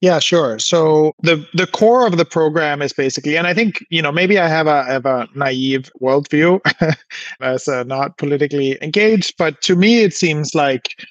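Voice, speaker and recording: A man's voice: a little high, normal speed, no room sound, dull audio. Informal man from Denmark, dry close sound. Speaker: podcast guest, biotech co-founder and scientist. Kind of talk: conversational interview answers.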